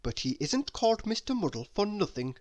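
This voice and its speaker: A woman reading a children's story aloud as an expressive narrator. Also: mid-range voice, a little fast. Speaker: story reader.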